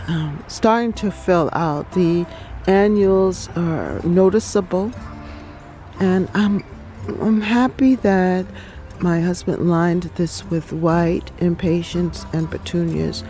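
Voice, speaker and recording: A woman hosting a relaxed garden tour outdoors, talking reflectively with many pauses and ums. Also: fairly low pitch, faint music behind. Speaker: garden channel host. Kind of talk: casual garden tour vlog.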